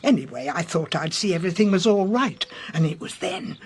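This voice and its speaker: An actress in a radio drama playing a loyal, chatty British household servant, gossipy and insistent in everyday colloquial speech. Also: female voice, lowish voice, animated, a little fast, husky. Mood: insistent, confiding.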